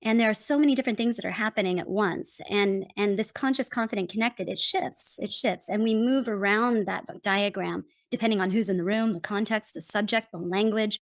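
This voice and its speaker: An American woman speaking as a guest author, explaining her ideas in an animated, emphatic way. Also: mid-range voice, brisk, hardly any pauses, no echo. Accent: General American. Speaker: guest author and communication consultant. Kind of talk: interview answers.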